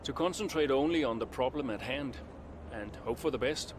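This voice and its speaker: An actor playing a proud, guarded veteran racing driver in a video game, terse and defensive in manner. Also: male voice, mid-range voice, moderate pace, noisy background, natural voice. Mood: guarded, measured. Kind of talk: dramatic video game cutscene acting.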